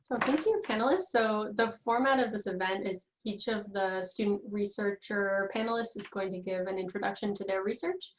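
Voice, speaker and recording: A woman's voice: medium pitch, moderate pace, few pauses, low-quality recording. A woman who is a professor, moderating a student panel in a friendly, organized way.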